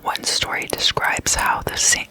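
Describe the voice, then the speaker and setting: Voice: a little slow, bright, forceful tone. An ASMR host telling stories in a whisper, in a casual, gossipy, conversational manner.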